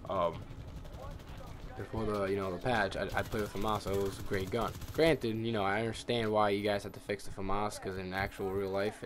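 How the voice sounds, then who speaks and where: A young man with a tired, scratchy voice, rambling and swearing in casual commentary over his gameplay. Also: fairly low pitch. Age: young adult. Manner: rambling, ranting, heavy swearing. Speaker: gamer.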